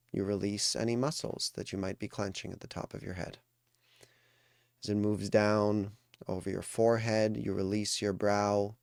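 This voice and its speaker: A man with a smooth, clear voice guides a meditation at an unhurried pace. He is calm and soothing, leaving deliberate pauses between phrases. The sound is dry and close. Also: on the low side, no room sound. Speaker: meditation coach.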